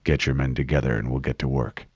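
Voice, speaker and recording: A man voicing a towering, armored male super-soldier who gives calm, clipped orders. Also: a bass voice, fast talker, slightly rough, no echo, pitched below the performer's own voice. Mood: calm, commanding. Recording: dull lo-fi dry recording.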